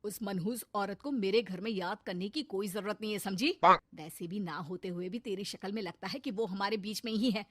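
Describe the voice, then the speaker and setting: A woman's voice: medium pitch, moderate pace, a bit bright, no echo, natural voice. Woman voicing an exasperated, chiding middle-aged husband. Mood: reproachful. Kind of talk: Hindi cartoon family drama. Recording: faint background noise.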